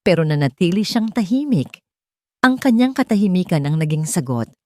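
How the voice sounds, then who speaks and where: A woman's voice: very animated, normal speed, mellow. A woman narrating a romance story in a scripted storytelling style, giving the characters' quoted lines herself. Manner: plays every character herself, shifting pitch for quoted lines.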